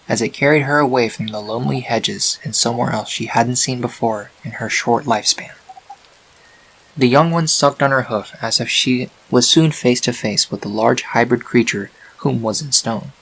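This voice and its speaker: A man narrating a scripted fan-fiction story in a measured, dramatic storytelling manner. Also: mid-range voice, a bit bright, husky, rough recording. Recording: faint hiss on home recording.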